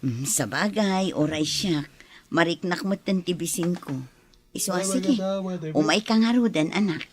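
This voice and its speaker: A woman acting a gentle, devout mother in an Ilocano radio drama, speaking patiently and soothingly. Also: lowish voice, unhurried, crowd noise, natural voice. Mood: affectionate. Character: gentle, devout young mother of a small child.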